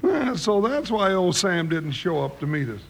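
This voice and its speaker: An American actor playing a folksy, excitable comic cowboy sidekick in an old-time radio western, with blustering frontier talk. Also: male voice, sits high, normal speed, vocal fry, exaggerated voice. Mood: jovial. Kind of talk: 1950s radio western acting. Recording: noisy old radio recording.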